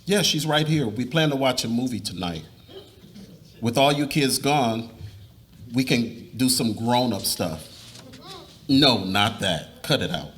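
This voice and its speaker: A man's voice: mid-range voice, animated, a little slow, long pauses, fairly bright tone. A man playing an earnest middle-aged husband and father in a live dramatic reading, with an explanatory, confessional way of talking. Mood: fatherly, cheerful. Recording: live reading with room noise.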